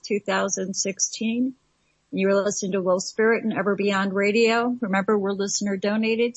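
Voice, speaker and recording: A woman hosting a radio talk show at a medium pitch. She reads station breaks in a friendly, steady way. The sound is dry and dull, as if it came down a lo-fi line. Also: slightly rough, dry recording.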